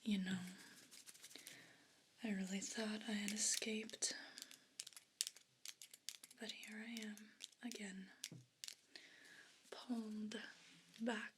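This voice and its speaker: A woman's voice: mid-range voice, level tone, extremely slow, long pauses, noisy background. A woman voicing a haughty, aggrieved evil pet cat in a whispered ASMR role play, speaking in pompous, formal, disdainful phrases.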